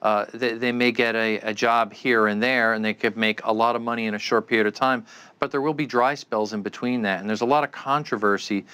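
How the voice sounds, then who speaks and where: A man's voice: medium pitch, steady tone, fairly quick, bright, forceful tone, clear, resonant tone. A man giving unscripted expert answers in an interview, explaining things with frequent stammered repeats. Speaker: expert guest, commentator.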